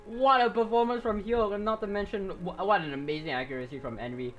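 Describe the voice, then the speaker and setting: This man is a live esports caster who gets excitable at the big moments. His voice is wearing hoarse from the shouting. Game music plays clearly underneath him. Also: high-pitched, fast talker, lo-fi sound. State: going hoarse. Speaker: esports commentator.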